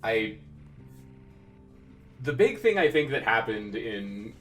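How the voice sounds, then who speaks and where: A man playing a tabletop game, chatting casually in a halting, rambling way as he recaps events. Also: on the low side, big pitch swings, faint music behind, low-quality recording.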